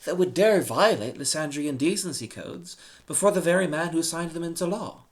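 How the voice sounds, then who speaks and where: A man's voice: fairly high pitch, expressive, normal speed, voice pushed up. A man voicing a humble but outspoken male slave in a humorous storybook, earnest and wry. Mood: pleading.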